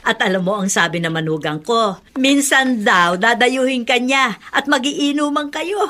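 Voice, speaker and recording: A woman playing a chatty, warm-hearted older Filipino mother in a radio drama. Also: fairly high pitch, expressive, moderate pace. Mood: effusive.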